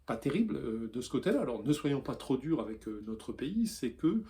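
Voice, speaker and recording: A man's voice: mid-range voice, sing-song, moderate pace, dark tone. A man from France presenting an opinion video for his newsletter, talking earnestly with frequent hesitations. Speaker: newsletter columnist.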